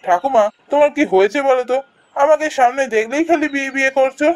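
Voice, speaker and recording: A man's voice: very high-pitched, quick pace. A man voicing a good-natured, worried young village cowherd in a cartoon, musing expressively with a Bengali accent. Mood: impatient. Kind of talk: cartoon voice acting, thinking aloud.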